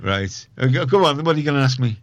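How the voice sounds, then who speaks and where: A man's voice: medium pitch, lively intonation, fast, no echo. A man talking casually in an interview about his work, opinionated and emphatic, often repeating himself to make a point. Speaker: community radio organiser, interview guest. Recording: muffled, dull, dry close recording.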